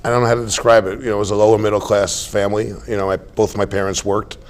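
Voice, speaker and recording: Low-voiced New man in his sixties. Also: fast. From Staten Island, New York. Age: 60s. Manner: rambling, many restarts, relaxed storytelling. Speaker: interview guest. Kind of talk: casual interview chat.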